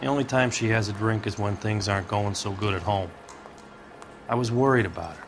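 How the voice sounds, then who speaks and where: A man's voice: lowish voice, a little fast, vocal fry, music in the background. A middle-aged American man playing a forthcoming, concerned 1940s barman in a video game, informal and plain-spoken. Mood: helpful. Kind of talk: dramatic video game acting.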